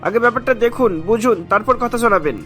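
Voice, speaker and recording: A man's voice: very high-pitched, fast, a bit bright, faint music behind. A man voicing a defensive, argumentative motorbike rider in a cartoon, full of indignation. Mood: indignant, defensive. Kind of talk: Bengali cartoon voice acting.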